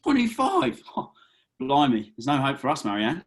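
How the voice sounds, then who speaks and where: A man's voice: medium pitch, huge pitch swings, moderate pace, slightly rough, exaggerated voice. An actor playing a cheeky, bumbling young British man, colloquial and hesitant, in a read-through of a comedy script. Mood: cheeky, bemused. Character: easygoing, cheeky, bumbling young bloke at festival.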